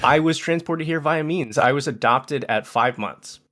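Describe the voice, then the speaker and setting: A man in his thirties, a podcast guest, talking casually with halting restarts, self-deprecating humor and frequent laughs. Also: on the high side, a bit bright. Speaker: podcast guest, content creator. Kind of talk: casual chat.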